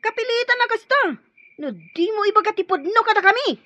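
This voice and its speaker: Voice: very high voice, expressive, fairly quick, bright, forceful tone, muffled recording, heavily acted. An actor with a Filipino accent playing a tough, wary and loyal armed friend in a radio drama. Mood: taunting, threatening.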